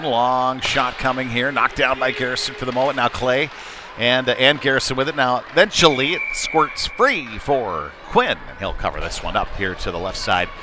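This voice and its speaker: Man, hockey play-by-play, medium pitch, colloquial wording, noticeable background noise. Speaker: play-by-play commentator. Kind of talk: live youth ice hockey commentary. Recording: clear background rink noise.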